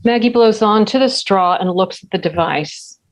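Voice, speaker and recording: Woman reading screenplay action, mid-pitched. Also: normal speed, rough recording. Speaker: stage directions reader. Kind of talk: scripted narration of a screenplay.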